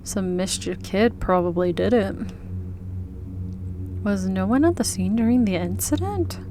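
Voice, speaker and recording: A woman's voice: medium pitch, unhurried, music in the background. A woman reading the dialogue and narration of a visual novel aloud as she plays through it. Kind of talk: visual novel read-aloud let's play.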